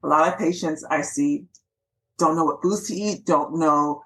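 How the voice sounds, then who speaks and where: A woman from Los Angeles, a physician and author, chatting upbeat in an interview. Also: sits low. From Los Angeles, USA. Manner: quick, animated, upbeat and chatty. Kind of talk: casual interview chat.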